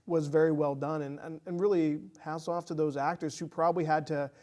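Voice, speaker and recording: A man with a fairly high-pitched voice gives a casual film review in conversation. He speaks in a hesitant, halting way, feeling out his phrasing as he goes. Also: fairly even intonation, dark tone.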